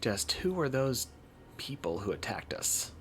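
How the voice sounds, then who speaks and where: A man voicing an anxious young royal heiress from a visual novel game, in a halting, questioning way. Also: medium pitch, sing-song, vocal fry, fairly bright tone, a little hiss or hum, voice pushed up, exaggerated voice. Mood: troubled, questioning.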